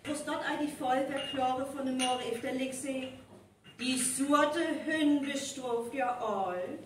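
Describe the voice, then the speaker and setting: A woman with a North Frisian accent playing a deliberate, explanatory young folklore researcher in a live radio play. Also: high-pitched. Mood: questioning. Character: young female university researcher of local folk legends. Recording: echoey live stage recording, distant, faint noise.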